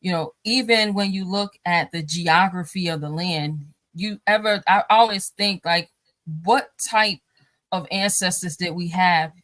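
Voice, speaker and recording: A woman's voice: on the low side, measured pace, fairly bright tone, dry recording. A married woman, a guest on a talk show, speaking casually in a rambling way with many fillers and restarts, and often laughing as she talks. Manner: laughs often while talking.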